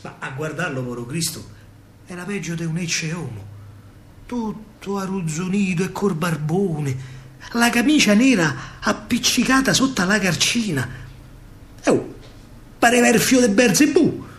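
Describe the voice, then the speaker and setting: A man's voice: high voice, expressive, measured pace. A man with a Roman accent performing a dramatic verse monologue on stage as an emotive, theatrical storyteller. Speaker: solo stage actor and storyteller. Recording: live performance with faint background noise.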